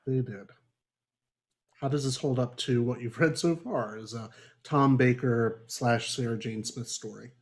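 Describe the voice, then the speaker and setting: A man's voice: medium pitch, a little slow. A man hosting a casual book club discussion over a video call, often chuckling and prompting others with questions. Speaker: podcast host.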